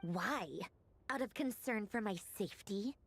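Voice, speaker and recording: A woman's voice: medium pitch, a little slow, husky. A haughty young woman speaks in a video game with imperious, cutting sarcasm. Her tone is icily threatening, and faint music plays behind. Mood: haughty, menacing.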